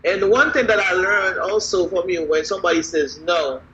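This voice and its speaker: A woman's voice: fairly low pitch, moderate pace, highs cut off, noisy background. A woman hosting a casual live video chat, affirming her guest with frequent "mm-hmm" and "okay". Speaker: host.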